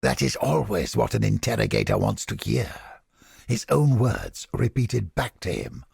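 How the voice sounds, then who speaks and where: A man playing a haughty, creepy mind-reading interrogator in a video game, with a pompous, florid, theatrical delivery and a raspy, gravelly voice. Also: lowish voice, big pitch swings, measured pace, a little mellow, dry recording, studio quality, full character voice. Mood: cold disdain. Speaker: game character. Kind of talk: video game character voice lines.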